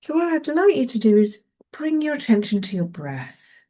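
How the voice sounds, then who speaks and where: A woman leading a guided mindfulness meditation in a gentle, soothing manner, leaving long pauses between phrases. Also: high voice, very animated.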